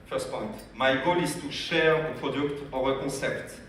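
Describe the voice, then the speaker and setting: A man's voice: medium pitch, moderate pace, a little hiss or hum. French-accented man in an echoing hall. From France. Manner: energetic, addresses audience directly with questions. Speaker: business development director. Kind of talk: convention training talk. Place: large convention hall. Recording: echoey hall, speaker far from mic.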